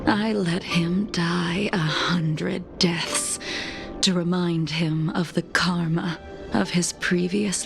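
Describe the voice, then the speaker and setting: A woman playing a cold, long-lived swordswoman in a video game, speaking in a measured, detached way with a hushed, breathy voice. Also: mid-range voice. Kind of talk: scripted video game voice acting. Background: background music.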